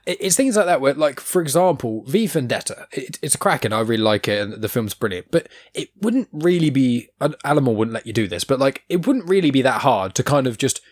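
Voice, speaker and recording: A man's voice: medium pitch, big pitch swings, fairly quick, hardly any pauses. Enthusiastic man hosting a chat. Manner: enthusiastic, opinionated, rambling with restarts. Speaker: podcast host. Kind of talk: casual chat.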